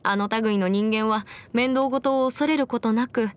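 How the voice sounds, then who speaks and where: A woman's voice: on the high side, unhurried, natural voice. A woman voicing a confident, clever young female legal advisor in an anime game, articulate and slightly boastful, with playful pride. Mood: matter-of-fact. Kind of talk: Japanese anime game character voice lines. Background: music.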